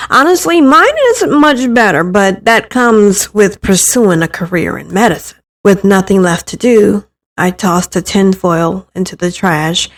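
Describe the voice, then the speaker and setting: A woman narrating a first-person story expressively, acting out the thoughts as she reads. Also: lowish voice. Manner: acts out the narrator's thoughts, with stumbles in reading. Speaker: narrator reading a visual novel aloud.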